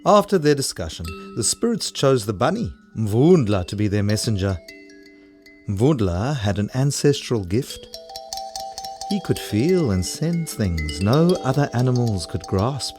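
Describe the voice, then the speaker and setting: A man's voice: medium pitch, sing-song, a little slow, darkish tone, faint music behind. A man with a South African accent narrating an African folktale for children as a storyteller. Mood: storytelling.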